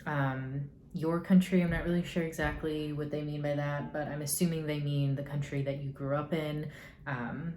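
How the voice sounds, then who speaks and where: A woman's voice: sits low, flat delivery, normal speed, a little mellow. A young woman rambling through get-to-know-me questions in a casual vlog, full of hedges and dry, sarcastic asides. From United States. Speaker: YouTuber. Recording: echoey room, faint noise.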